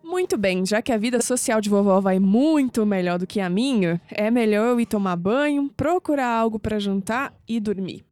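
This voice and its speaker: A woman playing a wry, caring young sceptic in an expressive audio drama performance. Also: medium pitch, normal speed, few pauses, soft background music, natural voice. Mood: puzzled.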